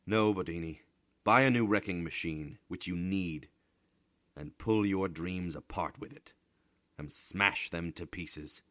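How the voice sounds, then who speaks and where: A man's voice: low-pitched, measured pace, a bit bright, no room sound. Man acting a stern, cynical old man, emphatic. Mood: grim, urgent. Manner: emphatic, admonishing, rhetorical.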